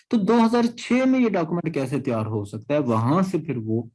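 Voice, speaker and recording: A man's voice: on the high side, dark tone, poor audio. A man with a Pakistani accent teaching an exam-prep class, explaining point by point in a didactic way and repeating himself. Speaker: law lecturer. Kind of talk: exam-prep lecture, reading out clauses and explaining them.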